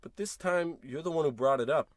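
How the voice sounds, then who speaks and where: A man's voice: a little high, fairly quick, dry recording, close to an everyday voice. A man playing an eager, dissatisfied young suburban husband in a parody old-time radio drama, earnest and melodramatic.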